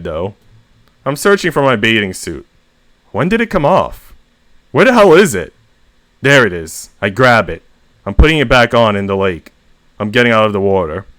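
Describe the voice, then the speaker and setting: A man reading first-person short stories aloud as host, with expressive emphasis on the narrator's exasperation. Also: medium pitch, dry recording. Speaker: story reader and host.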